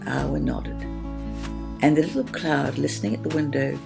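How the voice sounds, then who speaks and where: A woman storyteller reading a children's story expressively, in a gentle manner with dramatic pauses. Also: sits low, creaky, over music.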